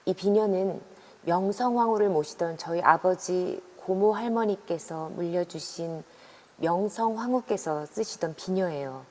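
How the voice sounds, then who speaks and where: A woman's voice: lowish voice, unhurried, warm tone. A woman voicing a worried middle-aged woman who earnestly warns visitors away, in an amateur audio drama. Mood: grave. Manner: earnest, urgent pleading. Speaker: voice actor. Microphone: phone in an online voice room. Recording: long reverb on a voice chat app.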